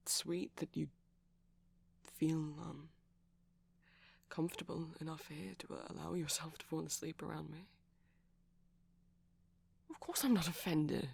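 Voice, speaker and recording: A man playing a shy, gentle vampire boyfriend in an ASMR roleplay, soft-spoken and hesitant, often slipping into a breathy whisper. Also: a little high, exaggerated voice. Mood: reassuring, shy.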